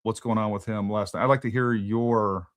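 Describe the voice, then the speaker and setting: A man's voice: fairly low pitch, steady tone, moderate pace, no room sound. Man, podcast host, casual political chat, dry close mic. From Texas. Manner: conversational, repeats words while thinking.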